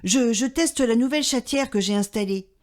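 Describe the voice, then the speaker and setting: A woman's voice: normal speed, darkish tone, lightly acted. A woman voicing a flustered, exasperated father who is stuck in a cat flap. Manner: high, strained, pleading. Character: flustered middle-aged dad, stuck and exasperated.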